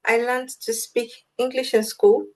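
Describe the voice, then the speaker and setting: A young woman with a Nigerian accent answers interview questions in an earnest, reflective way, often with a note of amusement. Her voice sits fairly high for a woman. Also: flat delivery, measured pace. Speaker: guest, language advocate.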